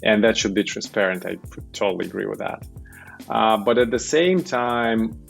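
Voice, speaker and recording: Low, slightly creaky man in discussion. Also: normal speed, soft background music. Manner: hesitant phrasing, frequent tag questions seeking agreement. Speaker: panel guest, product management director. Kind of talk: conversational panel discussion.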